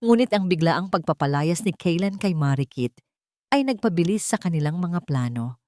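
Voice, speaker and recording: A woman's voice: on the low side, lively intonation, moderate pace, warm tone, dry recording, studio quality. A woman narrating a scripted dramatic audio story.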